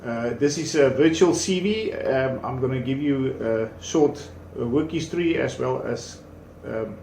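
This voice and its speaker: A man's voice: medium pitch, measured pace, creaky, a little mellow. A South African man in his fifties, talking casually with many fillers and restarts while presenting a service and his own work history. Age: 50s. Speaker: small business owner presenting a service. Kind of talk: casual promotional talk and self-introduction. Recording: echoey room with faint background noise.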